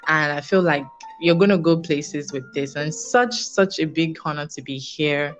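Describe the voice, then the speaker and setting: Low-pitched Nigerian woman, emphatic podcast guest. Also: normal speed. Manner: emphatic, repeats phrases for stress. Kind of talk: casual conversational interview answers. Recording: dull lo-fi audio, music behind.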